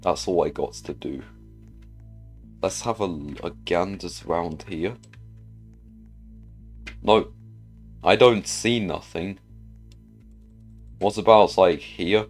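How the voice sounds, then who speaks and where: A man with a low voice and an unhurried pace hosts a gaming video. He comments casually on his play, muttering questions to himself as he goes. Music plays behind him.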